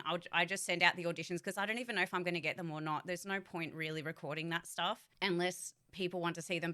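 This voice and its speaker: Young woman streamer, bright and forceful, blunt and chatty, swears freely. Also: fairly low pitch. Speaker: voice actor and streamer. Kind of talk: casual livestream chat to viewers.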